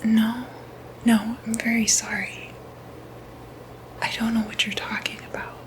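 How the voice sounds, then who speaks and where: A woman speaking in a breathy whisper, softly reassuring and hesitant, in an ASMR medical roleplay as clinic staff. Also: on the high side, level tone, unhurried, poor audio. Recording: noticeable background noise, ambient sound.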